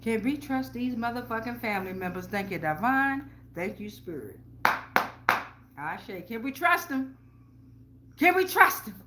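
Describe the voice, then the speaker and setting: A woman's voice: a little high, big pitch swings, measured pace, pauses often, background noise. A woman hosting a casual spiritual tarot reading, blunt and slangy, swearing freely and repeating phrases for emphasis. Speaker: tarot reader, show host.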